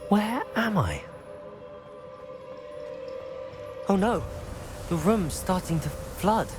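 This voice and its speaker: A man's voice: high voice, sing-song, slow, full character voice. A young man playing a curious, brave teenage wizard in a video game, musing aloud to himself. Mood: awed, uneasy. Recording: background music under the voice.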